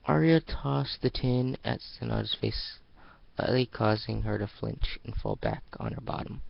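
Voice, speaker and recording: Gravelly, unhurried man reading a story. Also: lowish voice, huge pitch swings. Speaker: amateur narrator. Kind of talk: dramatic story reading, scripted narration. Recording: dull lo-fi home recording.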